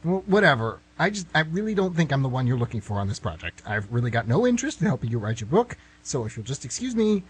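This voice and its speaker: A man's voice: mid-range voice, big pitch swings, fast, few pauses, husky. A man playing a nervous, reluctant, wry writer in a comic radio play, with a hesitant, protesting manner. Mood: anxious, reluctant. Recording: amateur recording, noisy with hum.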